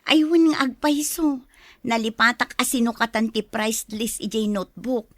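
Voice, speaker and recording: A woman's voice: a little high, fairly even intonation, normal speed, dry recording, studio quality, close to an everyday voice. A woman with an Ilocano accent playing a patient, apologetic younger sister in a family drama, speaking in a conciliatory way. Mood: pleading. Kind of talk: radio-style family drama acting.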